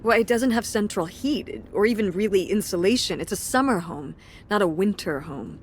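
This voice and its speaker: Actress as tired middle-aged game mom, kinda defensive and hesitant, natural delivery, some background noise. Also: female voice, medium pitch, lively intonation, normal speed, close to an everyday voice. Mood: apologetic, exasperated. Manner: exasperated, hesitant, sighing. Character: weary, defensive middle-aged mother running a struggling theater. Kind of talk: naturalistic video game voice acting. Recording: clear background ambience noise.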